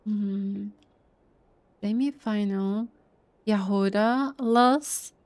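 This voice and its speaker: A woman streaming a game playthrough with casual, chatty commentary, musing and halting as she goes. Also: medium pitch, darkish tone, no room sound. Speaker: streamer, let's player. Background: game music.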